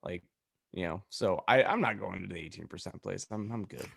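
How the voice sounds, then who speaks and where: A man's voice: low-pitched, fairly quick, creaky, a bit bright, husky. Sardonic man bantering on a podcast. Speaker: podcast host. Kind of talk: casual comedic banter.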